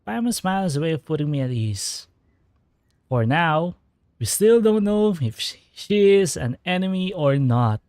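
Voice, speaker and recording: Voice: medium pitch, very animated, measured pace, a little mellow, dry recording. A streamer giving casual, rambling commentary while playing a story game. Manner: chatty, rambling fan theorizing. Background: game music.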